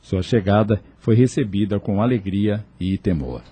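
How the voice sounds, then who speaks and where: A man's voice: on the low side, moderate pace, warm tone, slightly rough. A man with a Brazilian accent narrating a scripted radio drama serial.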